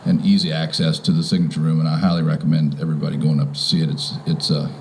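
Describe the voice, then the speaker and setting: A mature man with a very deep and dark, relaxed voice. He gives an informal welcome speech, often stopping and rephrasing mid-thought. There is some background noise around him outdoors. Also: fast, creaky. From Virginia, United States. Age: 50s or older. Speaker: property owner welcoming guests. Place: outdoors in front of a house.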